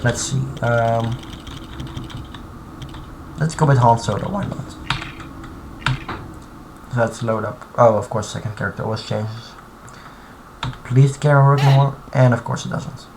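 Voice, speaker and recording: A man at his computer, narrating a live stream in a loose, halting way, stopping and restarting as he puzzles over what he sees. His voice sits in the middle range, and clear background noise and keyboard typing run under it. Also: medium pitch. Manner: thinking aloud, halting, many fillers and restarts. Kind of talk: casual live-stream commentary while modding.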